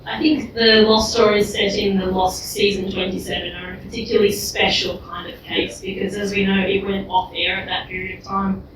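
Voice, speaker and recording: A woman giving a measured, articulate opinion at a live panel discussion. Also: medium pitch, level tone. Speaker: panel speaker. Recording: very echoey room, distant, clear background noise.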